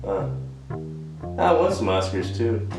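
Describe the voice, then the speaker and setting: A man's voice: lowish voice, sing-song, takes it slowly, exaggerated voice. An actor playing a boastful, eccentric homeless man who rambles and hesitates in an audio drama. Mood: agreeable, rambling. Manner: rambling, hesitant, with trailing thoughts. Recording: very echoey room with music underneath.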